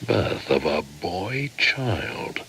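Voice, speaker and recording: A very deep, ominous ancient shaman. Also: very animated, creaky, sharp tone, full character voice. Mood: brooding, scornful. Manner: slow, incantatory, solemn. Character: ancient pagan male shaman spirit, ominous, proud, taunting. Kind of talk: dramatic radio play monologue. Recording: heavy background noise and ambience.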